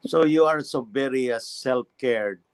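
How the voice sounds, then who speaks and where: A man hosts an interview, his English halting and roundabout, his words full of warm praise for his guest. His voice sits at a middle pitch, close and dry in the recording. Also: smooth, no room sound. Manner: halting non-native English, effusive praise. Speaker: interview host. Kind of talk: interview questions and comments.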